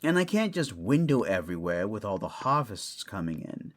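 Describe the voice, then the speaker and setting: A man's voice: lowish voice, big pitch swings, moderate pace. A man playing a roguish, scholarly furred creature in a fantasy audio drama, grumbling and explaining theatrically. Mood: explanatory. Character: furred, clawed male creature, a roguish scholarly artifact-tinkering steward.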